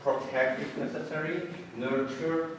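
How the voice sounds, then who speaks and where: A man with a Hungarian accent speaks in a very echoey room, far from the mic. His fairly high voice moves up and down a lot as he gives an informal, halting talk with self-deprecating humor. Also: steady tone, vocal fry. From Budapest, Hungary. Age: 30s. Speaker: college science teacher giving a talk. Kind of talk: informal autobiographical talk to an audience. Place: echoey indoor room before an audience. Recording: echoey room, distant mic, noisy background.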